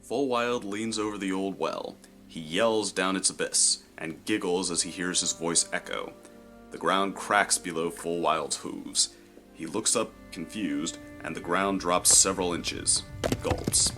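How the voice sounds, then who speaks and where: A man reading scripted story narration in a measured, descriptive way. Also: deep voice, sharp tone, soft background music.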